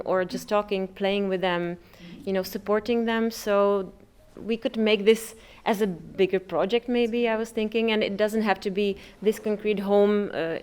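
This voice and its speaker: A middle-aged Czech-accented woman with a smooth, clear voice shares informally with a group. She speaks a little hesitantly, hunting for the right words in English. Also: medium pitch, a little mellow. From Czech Republic. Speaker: parent community service representative.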